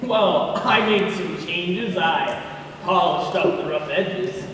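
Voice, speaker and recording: A man's voice: high voice, slow pace, fairly bright tone. An amateur actor playing an exasperated, defensive man bickering in a comic stage play. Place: school theatre stage, recorded from the audience. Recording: noisy, very echoey hall from far off.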